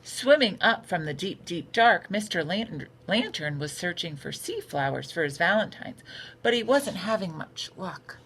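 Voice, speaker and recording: A woman reading a picture book aloud for children in an expressive, unhurried storytelling manner. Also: lowish voice, rough recording. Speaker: storytime reader. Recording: noticeable background noise.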